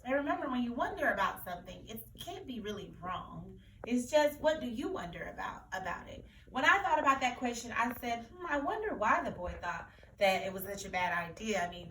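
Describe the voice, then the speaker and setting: A woman's voice: mid-range voice, big pitch swings, brisk, hardly any pauses, a bit bright. Woman teacher in a roomy, echoey recording. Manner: explanatory, repeats key phrases for children. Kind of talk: read-aloud lesson discussion for children. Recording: roomy echo, distant mic, faint noise.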